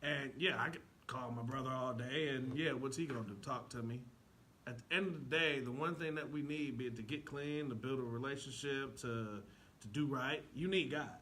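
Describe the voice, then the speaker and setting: A man's voice: medium pitch, moderate pace, a bit bright, a little hiss or hum. A man talking casually but with passion as a show guest, emphatic and repeating phrases for effect. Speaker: stage actor, show guest. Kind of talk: impassioned casual talk.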